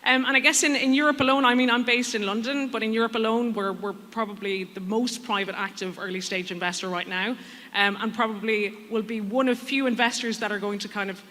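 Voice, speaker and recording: A woman with a bright, forceful voice speaks conversationally on a panel. She is emphatic, and her words carry through a reverberant hall. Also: on the high side, fairly even intonation, brisk. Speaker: panelist, venture capital investor.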